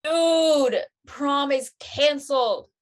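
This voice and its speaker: Actress, fairly high-voiced, conversational woman. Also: drawn-out words. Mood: urgent. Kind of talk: live audio drama table read.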